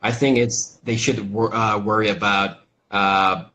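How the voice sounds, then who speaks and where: A young adult man from Brazil with a Brazilian accent, an English teacher talking casually over a video call, halting with lots of fillers and restarts. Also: lowish voice, little pitch movement, sharp tone. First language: Portuguese. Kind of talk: casual interview chat.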